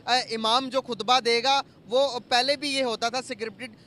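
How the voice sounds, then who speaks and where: A man conducting a casual street interview in a halting, conversational way. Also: very high voice, steady tone, bright, forceful tone. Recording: street noise with vehicles. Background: outdoor traffic.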